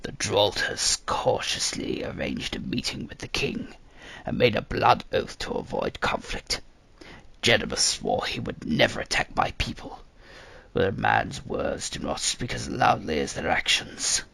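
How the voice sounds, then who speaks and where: A man voicing a very old, embittered fantasy survivor in a storybook, raspy, strained and slow, with a grave, labored manner. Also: on the low side, very animated, sharp tone, no echo, pitched below the performer's own voice, full character voice. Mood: grim, pained.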